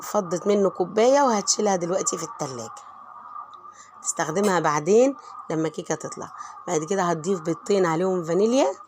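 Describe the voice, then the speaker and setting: Middle-aged Egyptian woman, home vlogger with a cold, hoarse and quick, chatty and warm toward her viewers. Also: fairly low pitch, expressive, slight background noise. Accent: Egyptian Arabic. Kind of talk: chatty voice-over narration of home cooking.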